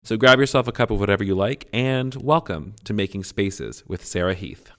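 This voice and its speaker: A man with a lowish voice reads a scripted podcast intro. His delivery is friendly and upbeat, like a warm welcome. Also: a little fast. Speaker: show announcer.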